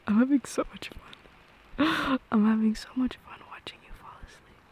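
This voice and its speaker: A woman's voice: sits high, moderate pace, darkish tone, a little hiss or hum. A woman doing an intimate, often whispered ASMR girlfriend roleplay in a playful, giggly, affectionate manner.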